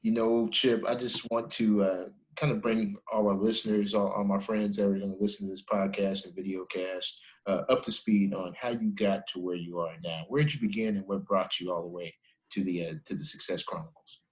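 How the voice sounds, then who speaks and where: A man hosting a casual podcast interview. His voice sits on the low side, and he sounds friendly and appreciative. He laughs easily with his guest. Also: steady tone, brisk, poor audio. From Texas. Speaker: podcast host.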